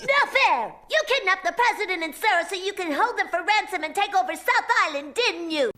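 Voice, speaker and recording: A woman playing an indignant young cartoon character in an animated film dub. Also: very high voice, a little fast. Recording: noisy film clip audio.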